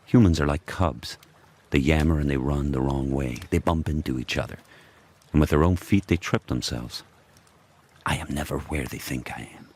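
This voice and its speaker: A man playing a fierce, brooding sled dog turned pack leader, telling his tale in terse, deliberate phrases in an audio drama. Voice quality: very deep, husky. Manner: terse, deliberate first-person narration in short phrases.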